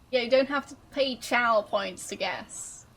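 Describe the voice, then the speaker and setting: A woman streamer chatting casually with her viewers, relaxed and amused as she answers their guesses. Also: on the high side, rough recording.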